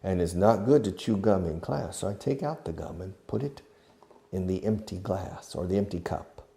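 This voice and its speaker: A man teaching a beginner English lesson, explaining slowly and acting out each phrase, with short glosses in another language. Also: deep voice, expressive, gravelly, dark tone. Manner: slow, deliberate, demonstrative. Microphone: video recording.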